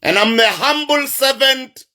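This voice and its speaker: A South African man with a Sesotho-influenced accent, a traditional healer addressing his online followers in a slow, emphatic, repetitive, preacher-like way. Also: very high-pitched, lively intonation, sharp tone. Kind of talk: livestream address to viewers.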